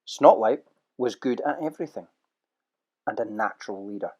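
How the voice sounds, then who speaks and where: A man narrating a children's storybook audio play with lively, expressive storytelling. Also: fairly low pitch, moderate pace.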